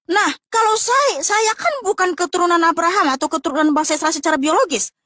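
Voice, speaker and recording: A woman's voice: very high voice, brisk, bright, forceful tone, slight background noise. A woman with an Indonesian accent explaining scripture in a religious debate, emphatic and didactic. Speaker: religious teacher on a panel.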